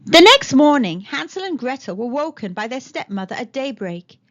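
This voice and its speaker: A woman reads a children's tale in a high voice with very animated intonation. Her delivery is expressive storytelling, and the recording sounds a little dull. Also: moderate pace. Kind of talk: expressive read-aloud storytelling.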